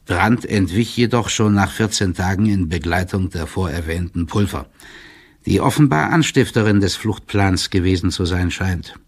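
A man playing an older, loyal court clerk who delivers a confidential report in a formal, measured and officious manner, as in an audiobook drama. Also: low-pitched, breathy edge. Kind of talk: dramatised first-person reading of a formal written report.

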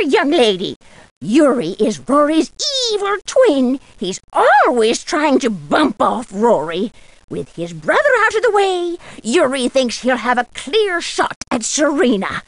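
A woman's voice: high voice, no room sound, heavily acted. An actress voicing a fussy, theatrical, eccentric older woman who minds a prop room in a video game. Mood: indignant, gossipy. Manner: theatrical, riddling, calls listeners "my dear". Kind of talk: video game character acting.

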